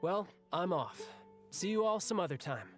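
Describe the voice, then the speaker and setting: An actor playing a cocky young male fighter in a game, easygoing and brisk. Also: high-pitched, expressive, soft background music. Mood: breezy. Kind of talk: game voice acting.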